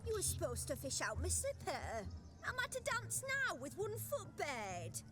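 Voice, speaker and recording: A shrill, scolding village maid in a game cutscene. Her voice is very high and swings wildly up and down, with music playing behind. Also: wildly swooping pitch, normal speed, exaggerated voice. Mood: indignant.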